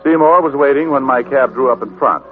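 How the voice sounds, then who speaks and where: An actor with an American accent playing a wry, confident private detective in an old-time radio drama, talking briskly and sardonically. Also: male voice, mid-range voice, soft background music, natural voice. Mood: confident narrating. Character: wisecracking, confident male private detective narrating his case. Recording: noisy, tinny old radio recording.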